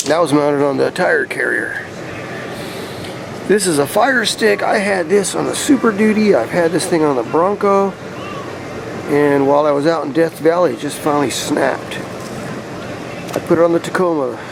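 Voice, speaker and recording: A man talking casually and conversationally outdoors, explaining things in a laid-back, rambling vlog style. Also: fairly high pitch. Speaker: vlogger showing a DIY radio antenna install. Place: outdoors beside his truck. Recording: clear outdoor ambient and vehicle noise.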